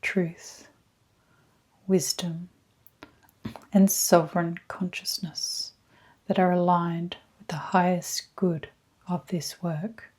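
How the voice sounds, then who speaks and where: A woman leading a scripted guided meditation in a soft, at times breathy voice, with hushed, soothing, deliberate phrasing and frequent pauses. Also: fairly low pitch, level tone. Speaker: guided meditation leader, energy healer.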